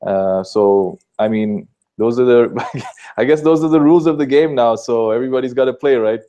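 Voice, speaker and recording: A man's voice: mid-range voice, moderate pace, warm tone. Earnest Sri Lankan man explaining. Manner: earnest, explanatory, many uh fillers. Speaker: guest answering questions about Islam. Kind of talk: casual interview answers.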